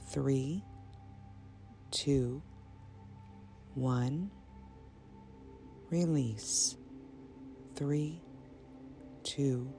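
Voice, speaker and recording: A woman guiding a meditation in a soothing, measured manner, pausing between short phrases. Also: rumbling low, creaky. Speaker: meditation guide. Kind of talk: guided meditation, slow and soothing. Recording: soft binaural music bed. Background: ambient binaural meditation music.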